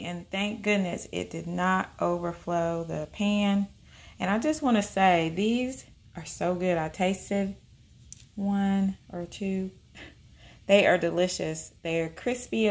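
Chatty woman in a home kitchen. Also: fairly low pitch. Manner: chatty, upbeat, thinks aloud with small fillers. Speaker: vegan cooking vlogger. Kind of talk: casual cooking narration.

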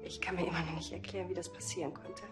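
An actress playing a subdued, fragile young wife, hesitant and earnest, in a TV drama. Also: female voice, lowish voice, flat delivery, racing, over music, lightly acted. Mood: subdued, wistful. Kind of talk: TV drama acting.

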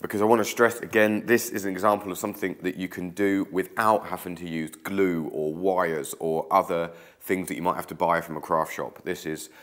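A man in his thirties with a very low voice talks through a craft tutorial in a chatty way while his hands keep weaving. He is getting over a cold, so his voice sounds croaky. He is recorded in an old stone-walled room by a camera set across the table. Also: a bit bright.

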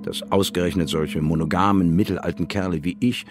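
A middle-aged male scientist narrates a science fiction radio play. His voice is low, with a dry, wry delivery. Music plays clearly underneath. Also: steady tone, fairly quick. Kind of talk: scripted first-person narration, German audio drama.

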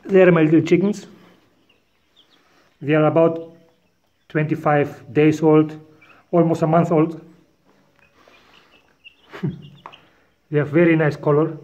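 A man in his thirties gives an unhurried walk-and-talk tour of his farm, filming himself on a handheld phone. He talks in plain, non-native phrasing and chuckles often. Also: a little high, darkish tone, dull audio. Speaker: farmer vlogger. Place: outdoors on a hillside farm and inside a bird shed. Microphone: phone camera at arm's length.

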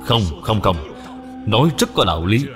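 A man's voice: fairly low pitch, sing-song, normal speed. Man voicing a dignified lord in cavernous reverb. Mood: genial. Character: dignified middle-aged lord, genial, hospitable, firm father. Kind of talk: dubbed children's moral cartoon. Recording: long hall reverb, music behind.

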